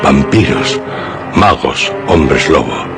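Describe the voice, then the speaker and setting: A man delivering ominous scripted horror narration for radio, solemn and halting, with dramatic pauses. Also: very deep voice, expressive. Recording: music under the voice.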